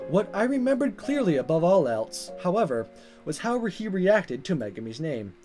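A man reading visual novel text aloud as a let's player, in expressive narration. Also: sits high, moderate pace. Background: game music.

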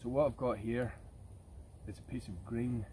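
A man's voice: mid-range voice, steady tone, normal speed, dark tone. A man in his thirties talking casually to camera outdoors, explaining things informally as a bushcraft vlogger. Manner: informal, explanatory, addressing viewers as guys. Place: outdoors in woodland camp. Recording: outdoor ambient noise.

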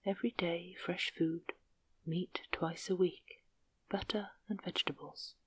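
Old bearded stranger, sly and cheerful, whispered low and slow by a woman narrator. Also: steady tone, fairly bright tone, slightly rough. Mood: wheedling.

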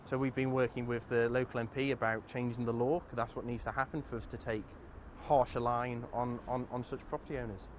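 A man in his thirties answers in a news interview, his pitch medium and quite flat. He is outdoors, with faint traffic noise behind him. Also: a little fast. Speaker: local councillor interviewee. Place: outdoors by greenery.

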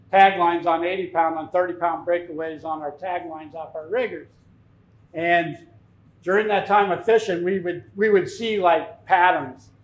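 A man in his fifties giving an informal, plain-spoken seminar talk as a fishing captain, telling anecdotes. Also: fairly high pitch. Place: tackle shop, indoors, speaking to an audience. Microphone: lapel mic. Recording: echoey shop room.

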